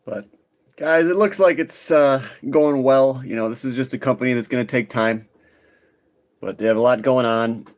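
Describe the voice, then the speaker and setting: A man hosting a livestream, giving informal, halting commentary on the markets to his viewers. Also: mid-range voice. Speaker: livestream host, retail stock commentator. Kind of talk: casual live market commentary.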